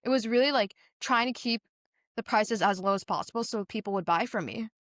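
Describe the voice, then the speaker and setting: A woman's voice: fairly high pitch, a little fast, fairly bright tone, dry recording, studio quality. A teenage girl from Singapore giving earnest, conversational interview answers as a young business founder, telling stories animatedly with a lot of "like". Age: teens.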